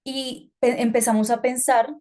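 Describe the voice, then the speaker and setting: A woman's voice: on the high side, little pitch movement, a little slow, darkish tone. A woman from Colombia giving an online talk, speaking conversationally with fillers and hesitations. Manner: conversational, hesitant with fillers, asks about slides.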